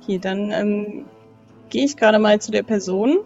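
A woman's voice: medium pitch, normal speed, vocal fry, a little mellow. A woman playing a tabletop game, improvising casually in a polite, hesitant way. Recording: band-limited dull audio, music behind.

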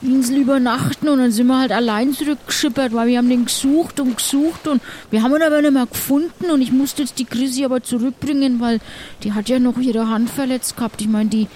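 A woman's voice: fairly high pitch, a little mellow, natural voice. A woman playing a chatty, disgruntled pirate crew member, rambling and colloquial in an improvised role-play. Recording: clearly noisy background.

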